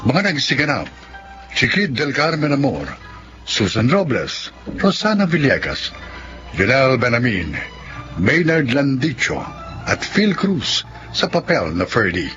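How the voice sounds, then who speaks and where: A man's voice: mid-range voice, very animated, a little slow. A man announcing a radio drama in a scripted, formal narration style. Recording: dull audio under clear music. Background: music.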